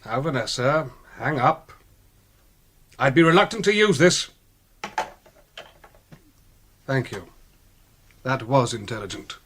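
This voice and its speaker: An actor playing a suave, faintly menacing English gentleman in a radio drama, polite and drily teasing. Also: medium pitch, expressive, a little slow, a little hiss or hum. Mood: cool threat. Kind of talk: British radio drama acting.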